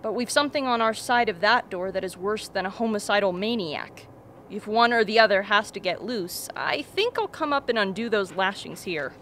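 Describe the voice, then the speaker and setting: Radio play, actress: composed, thoughtful woman on an expedition, measured delivery, medium pitch, faint noise underneath. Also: animated, a bit bright, close to an everyday voice. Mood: resigned, dry. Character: calm, thoughtful, dry expedition member.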